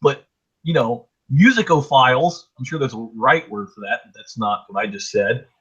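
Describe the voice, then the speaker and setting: A middle-aged man hosting a casual podcast, hoarse from illness, blunt and quick to swear. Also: medium pitch, a bit bright, muffled recording. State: hoarse, getting over a chest illness. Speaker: podcast host. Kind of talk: casual chat.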